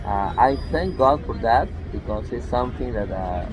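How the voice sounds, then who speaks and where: A man from Lima, Peru, with a Peruvian Spanish accent, speaking haltingly as a guest in a casual interview. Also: mid-range voice, lively intonation. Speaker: interview guest, language teacher and tour guide. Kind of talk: casual interview, self-introduction. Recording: very noisy busy street with crowd and traffic.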